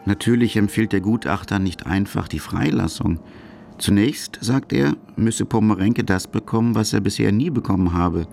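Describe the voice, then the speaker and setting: A man's voice: fairly low pitch, moderate pace, gravelly, a little mellow, soft background music. A man narrating a radio documentary in a sober, scripted manner, as a factual reporter of a criminal case.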